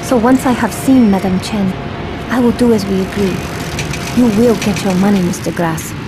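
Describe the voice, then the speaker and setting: A woman's voice: mid-range voice, natural voice, low-quality recording. An actress voicing a polite, formal young woman held as a captive daughter in a game cutscene. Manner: formal, measured speech. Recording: game audio with music and crowd.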